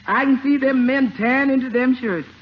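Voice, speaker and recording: An actress playing a sassy, skeptical housekeeper in a 1950s radio comedy, folksy, teasing and emphatic. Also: female voice, high-pitched, brisk, natural voice. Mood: teasing warning. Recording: muffled 1950s radio, faint noise.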